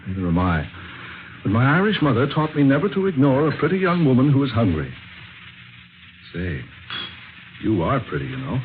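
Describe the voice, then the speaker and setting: An actor playing a broke, jobless, friendly wisecracker in a folksy, slangy 1940s radio drama style. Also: male voice, medium pitch, huge pitch swings, moderate pace, long pauses. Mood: playful. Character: broke, jobless, friendly wisecracking man sleeping on park bench. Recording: muffled, noisy old radio recording.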